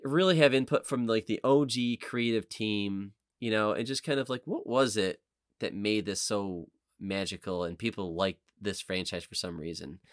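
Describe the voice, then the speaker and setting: A man's voice: on the low side, moderate pace, dry recording, studio quality. A man co-hosting a podcast, chatting casually in slangy, informal language. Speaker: podcast co-host. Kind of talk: casual chat.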